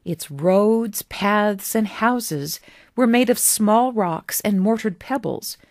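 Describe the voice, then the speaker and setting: Slow, low-voiced woman reading a story. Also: a little mellow, dry recording, clean studio sound. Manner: measured, deliberate storytelling with pauses between phrases. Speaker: author reading own story. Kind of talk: scripted storybook narration.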